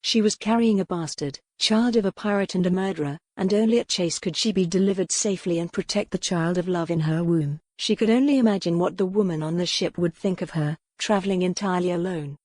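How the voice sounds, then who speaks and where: A woman's voice: lowish voice, a little fast, hardly any pauses, a little mellow, no echo, studio quality. A woman narrating a historical romance audiobook in a scripted storytelling style.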